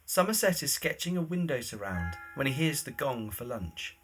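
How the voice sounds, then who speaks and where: A man's voice: mid-range voice, sing-song, normal speed, fairly bright tone, faint music behind. A man giving scripted narration of a story, setting each scene in the present tense.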